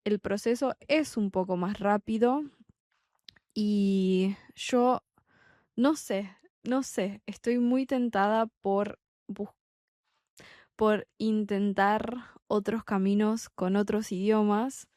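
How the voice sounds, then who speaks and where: A young adult woman from Argentina with a Buenos Aires accent, a Spanish tutor talking slowly and deliberately in a podcast for learners. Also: medium pitch, fairly even intonation, a little mellow, no room sound, studio quality. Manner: slow, deliberate, clearly spaced phrasing. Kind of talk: slow podcast monologue for learners.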